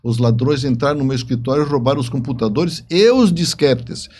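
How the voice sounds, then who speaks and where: A man, telling stories in an interview in a halting, emphatic way with repeated words. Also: medium pitch, a little mellow. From southern Brazil. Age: 70s. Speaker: interview guest, writer and engineer. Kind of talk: conversational interview storytelling.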